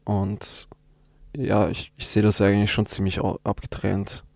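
A young man whose first language is German speaks in a low, gravelly voice with very little melody. He answers interview questions thoughtfully, pausing and restarting as he searches for words. The recording is close and dry. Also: completely flat delivery, a little mellow, no echo. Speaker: interviewed poet.

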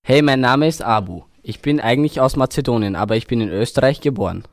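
A man's voice: medium pitch, animated, quick pace, no room sound. Hesitant young man in a dry recording. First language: Albanian. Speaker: student interviewee. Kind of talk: casual interview.

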